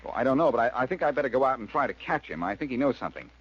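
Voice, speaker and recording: An actor playing a cool, quick-witted reformed crook turned sleuth in a 1940s radio drama, wry and glib. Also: male voice, mid-range voice, expressive, close to an everyday voice. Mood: urgent. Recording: muffled, hissy old radio recording.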